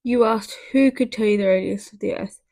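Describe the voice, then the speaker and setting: A woman's voice: mid-range voice, brisk, a little mellow, close to an everyday voice. A woman voicing a smug, argumentative thirteen-year-old schoolgirl in a storybook reading. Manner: preening, argumentative.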